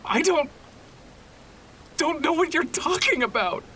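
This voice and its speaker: A man's voice: very high voice, expressive, slow, pushed and bright, a little hiss or hum, exaggerated voice. A man playing a frightened, deferential young student in a horror audio drama, with a nervous, hesitant way of talking. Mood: afraid, evasive.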